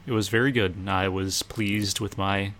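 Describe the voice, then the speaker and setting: A man with a lowish voice full of fry talks casually as a podcast co-host. He gives his opinions in a measured way, over faint background noise. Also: steady tone, fairly bright tone. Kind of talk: casual chat about films.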